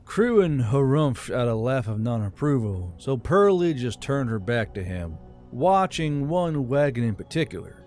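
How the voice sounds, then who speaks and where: A man's voice: medium pitch, very animated, measured pace, a little mellow, faint music behind. A man reading a scripted fantasy story as an audiobook narrator.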